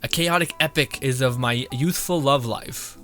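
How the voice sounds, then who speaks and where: A man's voice: medium pitch, normal speed, fairly bright tone. A young man doing casual, jokey game commentary and reading text aloud, with game music behind. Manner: giggly, informal, jokey. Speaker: game streamer.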